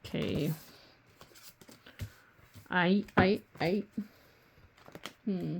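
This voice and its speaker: Woman tarot reader, low-ish pitch, gravelly voice going hoarse, loose rambling delivery with casual swearing. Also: very slow, a little hiss or hum. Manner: rambling, often restarts sentences. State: losing her voice. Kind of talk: casual tarot reading to camera.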